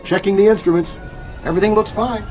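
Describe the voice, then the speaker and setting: Man as high-voiced eager airline first officer. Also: fairly even intonation, quick pace, a little mellow, faint music behind, lightly acted. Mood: concerned. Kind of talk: radio drama acting.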